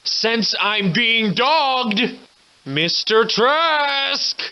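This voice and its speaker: An actor playing a weary, wry Victorian gentleman adventurer in a video game, with florid, old-fashioned, formal diction. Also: very high voice, expressive, drawn-out words, smooth, lo-fi sound, full character voice. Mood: alarmed, calling out. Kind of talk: theatrical video game voice acting.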